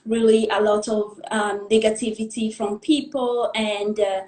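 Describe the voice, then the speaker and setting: A young woman from the Democratic Republic of the Congo tells her life story as a guest in a live interview, in an earnest, halting way, pausing to gather her thoughts. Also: mid-range voice, little pitch movement, a little mellow, reverberant. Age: young adult.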